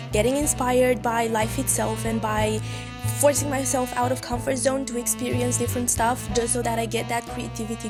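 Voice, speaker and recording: Chatty young woman, bookish vlog. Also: on the high side. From Eastern Europe. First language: Eastern European language. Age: 20s. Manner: chatty, rambling, self-deprecating. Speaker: book vlogger. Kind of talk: casual chat to camera. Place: home room with bookshelves.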